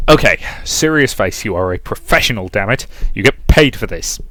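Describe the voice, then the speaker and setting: A man's voice: mid-range voice, gravelly, pushed and bright, no room sound. A man who reads a visual novel aloud as a let's play host, mixing story narration with casual asides.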